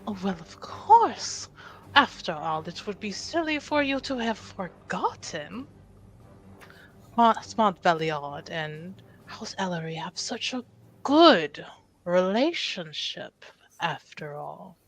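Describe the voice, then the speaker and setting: Woman playing poised, unhurried noble guest. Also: fairly low pitch, very animated, heavily acted. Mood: smooth, charming. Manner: polite, formal, measured phrasing. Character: Ade, poised, diplomatic noble guest at a salon. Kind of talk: in-character tabletop roleplay.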